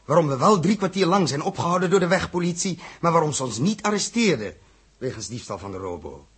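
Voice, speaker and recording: An actor playing a suspicious, commanding space captain in a 1960s Dutch radio drama, clipped and confrontational. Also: male voice, fairly high pitch, sing-song, fast talker, a bit bright, breathy edge, exaggerated voice.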